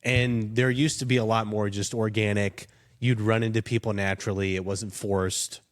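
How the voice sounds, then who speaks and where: A man's voice: fairly low pitch, level tone, normal speed. A young adult man, a podcast guest, talking in a rambling, casual way with lots of fillers and self-deprecating asides. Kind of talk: casual chat.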